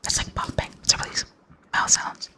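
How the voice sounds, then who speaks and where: A young adult ASMR streamer chatting with viewers in a rambling, close-mic whisper, full of fillers and soft little laughs. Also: fairly quick. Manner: laughs softly between thoughts. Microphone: close mic, near enough to blow into.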